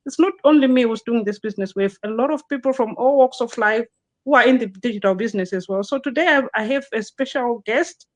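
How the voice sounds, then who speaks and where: A woman's voice: on the high side, fast. A woman hosting a live online interview as a business consultant, chatting with frequent restarts and fillers over a video call. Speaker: host, digital business consultant.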